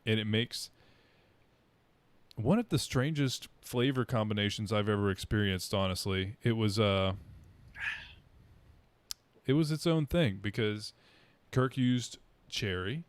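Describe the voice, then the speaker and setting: A man's voice: fairly low pitch, animated, measured pace, pauses often, smooth, no room sound. A man co-hosting a casual podcast, chatting in an easygoing, upbeat way. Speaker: podcast co-host.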